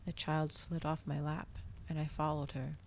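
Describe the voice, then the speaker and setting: A soft-spoken woman reading a story and chatting to viewers in a cozy ASMR style, often slipping toward a whisper, close to the microphone. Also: low-pitched, flat delivery, normal speed, a little hiss or hum. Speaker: streamer reading aloud to viewers.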